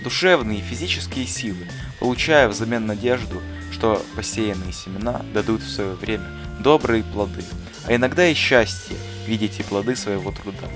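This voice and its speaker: A man voicing a thoughtful, kindly schoolteacher in an animated film, explaining things in an earnest, didactic, bookish way. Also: lowish voice, moderate pace, hardly any pauses, lightly acted. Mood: warm, reflective. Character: thoughtful, kindly male schoolteacher who talks like a gardener. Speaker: teacher answering interview questions. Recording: voice over clear background music. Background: music.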